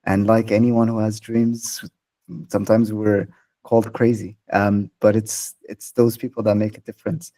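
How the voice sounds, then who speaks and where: A man hosting a podcast interview, gently affirming his guest and asking measured questions. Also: lowish voice, flat delivery. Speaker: podcast host. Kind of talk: interview conversation.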